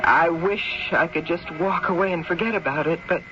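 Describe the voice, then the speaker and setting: An actress playing an earnest, mysterious widow of the old West in a radio mystery drama, with a dramatic, halting delivery. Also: female voice, lowish voice, natural voice. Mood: sombre, guarded. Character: mysterious frontier widow, earnest, clinging to her diamonds. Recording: dull old radio recording with music.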